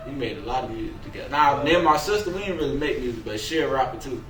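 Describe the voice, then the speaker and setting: A man's voice: mid-range voice, lively intonation, rapid-fire, a crowd in the background. A young man, a rapper being interviewed, chats casually in slangy talk and often repeats his phrases. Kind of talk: casual chat, telling stories. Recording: roomy, distant mic, loud background noise.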